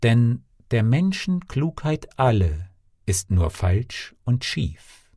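A man reading a fairy tale as an audiobook storyteller, in a theatrical, measured way. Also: medium pitch, big pitch swings, heavy background noise. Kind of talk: scripted fairy-tale narration.

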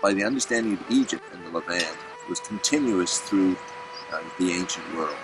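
Low-voiced man, dig leader, measured delivery full of pauses, music under him. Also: rough recording. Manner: measured, hesitant with many fillers. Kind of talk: documentary explanation. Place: outdoor excavation site.

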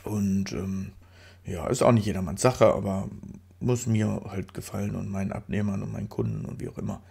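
A man's voice: on the low side, fairly even intonation, moderate pace, creaky, darkish tone, breathy edge. A man with a stuffy nose from a cold, chatting casually through a photo-editing tutorial voice-over, rambling and correcting himself as he goes. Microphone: studio microphone.

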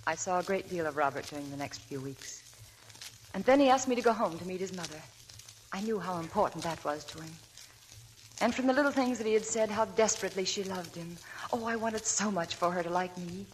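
A woman's voice: fairly low pitch, animated, brisk, a little hiss or hum, lightly acted, poor audio. An actress playing a shy young working woman who narrates her past, in 1940s radio acting. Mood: reflective. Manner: shy, soft-spoken. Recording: old radio broadcast with faint music.

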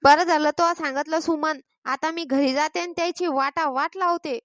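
A woman's voice: high voice, fairly even intonation, normal speed, no room sound, studio quality, lightly acted. A woman voicing a sarcastic, grumbling village mother and daughter-in-law in a cartoon comedy, with a rural Maharashtrian accent. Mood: indignant. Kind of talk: Marathi cartoon comedy dialogue.

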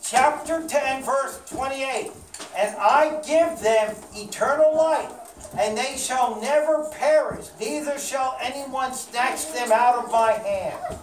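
A woman's voice: sits high, takes it slowly. A woman leading a children's puppet show, reading and quizzing aloud, recorded by a distant mic in a classroom. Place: small indoor classroom with children. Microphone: distant camera microphone. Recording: echoey room, far mic, clear noise.

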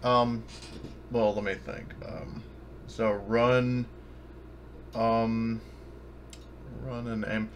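A man's voice: medium pitch, steady tone, very slow, pauses often. Male player, mid-range voice, casual, mulling aloud, faintly noisy chat audio. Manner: thinking aloud. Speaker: player in a tabletop game. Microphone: online voice chat. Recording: background noise on voice chat.

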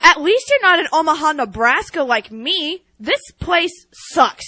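A woman's voice: high-pitched, very animated, unhurried, noisy background. A woman playing a snippy, sarcastic support agent briefing a hero in a video game parody. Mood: dry complaint.

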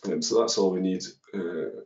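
A man's voice: low voice, moderate pace, darkish tone. A man presenting a coding webinar, walking through code step by step with many ums and uhs. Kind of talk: live coding walkthrough. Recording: dull echoey webinar audio.

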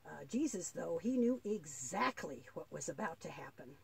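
A woman voicing a patient, devout old grandfather in a children's puppet play, explaining earnestly and haltingly with a husky voice. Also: sits low, very animated, heavily acted.

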